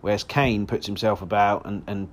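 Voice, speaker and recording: Hoarse man chatting football. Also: on the low side, steady tone, normal speed. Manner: rambling, frequent restarts and fillers. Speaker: football podcast host. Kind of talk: casual solo podcast chat.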